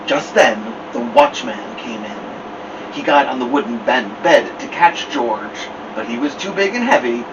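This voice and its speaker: A man reading a children's picture book aloud as a storytime host, theatrically, with drawn-out words and dramatic pauses. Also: medium pitch, very animated, vocal fry. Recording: distant mic, dull sound, noisy background hum.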